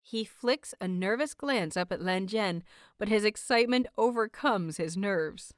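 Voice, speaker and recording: A woman hosting and narrating a fiction audiobook in an expressive, carefully enunciated storytelling style. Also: mid-range voice, unhurried, no echo, studio quality. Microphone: close microphone, dry recording.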